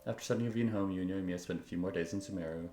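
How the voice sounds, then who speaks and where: A man's voice: sits low, very fast, dark tone, reverberant. A man streaming a video game, commenting casually and reading game text aloud. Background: video game music.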